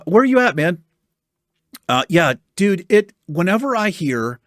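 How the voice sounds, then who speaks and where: A man from the United States reading viewer comments in casual chat, animated and chatty with a soothing voice and casual swearing. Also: medium pitch, dry recording, clean studio sound.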